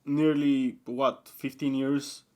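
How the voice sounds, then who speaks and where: A young man chatting casually on a podcast, rambling with many fillers and restarts, his voice jumping from excited and high to quiet and low. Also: slow pace. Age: young adult. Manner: rambling, repeats himself, often says like and uh; swings between excited high pitch and quiet low mumbling. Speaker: podcast guest. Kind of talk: casual chat.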